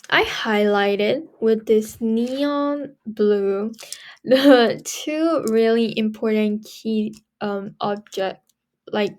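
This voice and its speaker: A woman's voice: mid-range voice, a little mellow. A teenage girl giving a chatty, repetitive language lesson as a student tutor. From Korea. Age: teens. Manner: chatty, repeats herself for emphasis, many fillers. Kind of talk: casual recorded lesson.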